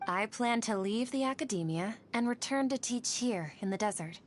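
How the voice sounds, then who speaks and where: A woman's voice: a little high, lively intonation, normal speed, noisy background, close to an everyday voice. A woman playing an earnest, idealistic scholar and teacher in a video game, polite and articulate. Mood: earnest, hopeful. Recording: music under the voice.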